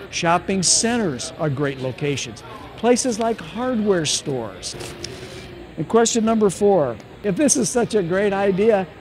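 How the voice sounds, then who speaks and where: An older man, a barber, giving an upbeat direct-to-camera sales pitch in a shopping mall, asking questions and answering them himself. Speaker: barber and franchise owner. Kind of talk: direct-to-camera promotional vlog. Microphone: camera on tripod at mid distance.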